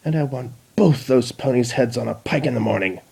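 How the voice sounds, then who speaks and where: A man's voice: medium pitch, fairly quick, vocal fry, darkish tone, slightly rough. A man voicing a shrieking, imperious female changeling queen in a fan reading. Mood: screeching. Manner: screeching, imperious.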